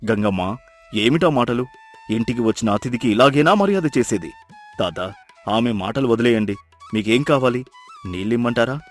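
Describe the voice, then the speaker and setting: A hospitable village fisherman, played by a man in a moral story. He speaks at a middling pitch in a firm, reassuring manner, over faint music. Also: animated, moderate pace, long pauses, close to an everyday voice. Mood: kindly. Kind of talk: acted moral story dialogue.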